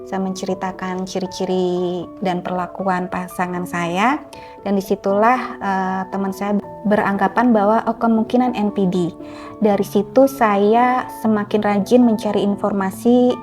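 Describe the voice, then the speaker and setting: A woman's voice: medium pitch, flat delivery, measured pace. Middle-aged woman, earnest, music underneath. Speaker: interview guest; author. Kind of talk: personal testimony in an interview.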